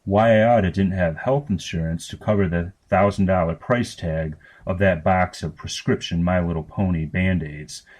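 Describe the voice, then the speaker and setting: A man reading fiction aloud as scripted narration, in a matter-of-fact, deadpan manner. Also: deep voice. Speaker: story reader.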